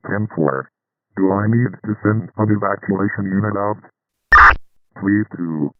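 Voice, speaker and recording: Man as male police chief on tinny two-way radio, lowish voice, clipped and businesslike. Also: a little slow, no room sound. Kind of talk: radio dispatch talk.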